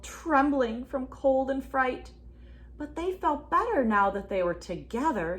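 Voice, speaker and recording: A woman reading a storybook aloud expressively to children, pausing for asides and questions to her young listeners. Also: on the high side. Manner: animated, addresses children with questions. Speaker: children's read-aloud reader.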